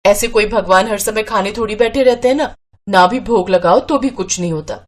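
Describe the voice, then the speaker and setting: A woman's voice: mid-range voice, fairly quick, natural voice. A woman acting a scolding, stubborn wife. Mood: complaining. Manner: sharp complaining. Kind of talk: Hindi moral story acting.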